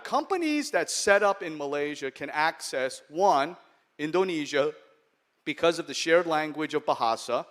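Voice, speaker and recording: A man's voice: fairly high pitch, fairly bright tone. A middle-aged man with an American accent from New York, giving a conversational conference keynote that keeps checking in with the audience. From United States. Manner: conversational, humorous, checks in with "okay?". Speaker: venture capital investor and keynote speaker. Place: large conference hall.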